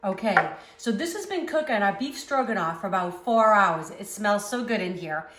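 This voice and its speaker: A woman's voice: medium pitch, expressive, normal speed, fairly bright tone, reverberant, slight background noise. A woman from the Northeast US with a Northeastern accent hosts a cooking channel, chatting casually as she works in her home kitchen. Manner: chatty, upbeat, talks while working.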